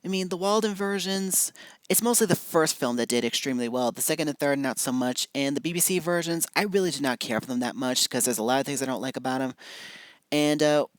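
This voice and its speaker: An amateur reviewer talks through opinions in a casual, emphatic way, often repeating words to drive a point home. The voice sits at a middling pitch. The sound is dry, with very little room around it. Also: fairly quick, no room sound. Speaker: amateur film and audio drama reviewer. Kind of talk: casual opinion commentary, ranking adaptations.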